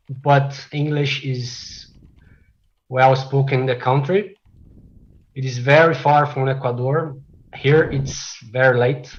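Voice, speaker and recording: A man from Brazil whose first language is Portuguese, giving a technical presentation as a developer, with frequent "uh" fillers and measured delivery. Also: medium pitch, lo-fi sound. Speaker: conference speaker, software developer.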